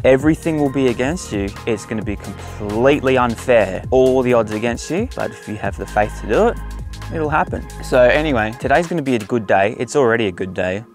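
A young man chatting casually while fishing from a small boat outdoors, upbeat and chatty. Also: mid-range voice. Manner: chatty, upbeat, excitable reactions. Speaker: fishing vlogger. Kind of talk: casual outdoor vlog commentary.

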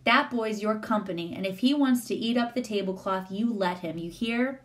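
A woman's voice: medium pitch, moderate pace, close to an everyday voice. A woman voicing a stern, bossy housekeeper in a story, scolding in folksy Southern US dialect.

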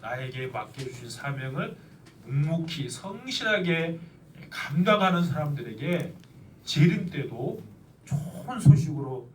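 A middle-aged man preaching, his slightly high voice a little nasal from a cold. He speaks earnestly, stretching key phrases slowly for weight. The sound is roomy and set back from the mic in a church, with faint background noise. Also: big pitch swings. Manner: earnest, with slow emphatic stretches. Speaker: pastor. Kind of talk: sermon. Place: church sanctuary. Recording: echoey church, mic at a distance.

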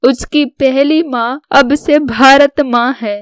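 Woman playing a slow, clear-voiced proud mother. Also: high-pitched, steady tone, no echo, studio quality. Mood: solemn. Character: proud, devoted older mother of soldier sons. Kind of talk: scripted Hindi cartoon story dialogue.

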